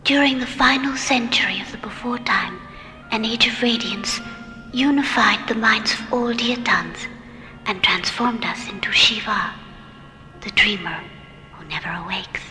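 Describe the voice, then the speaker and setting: A serene alien mystic for a radio sci-fi drama. She is breathy and solemn, measured like a keeper of old legends, floating in long reverb over music. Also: fairly high pitch, bright, forceful tone. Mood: solemn, mystical. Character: serene, mystical blind alien woman, grave storyteller. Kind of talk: old-time radio science fiction acting.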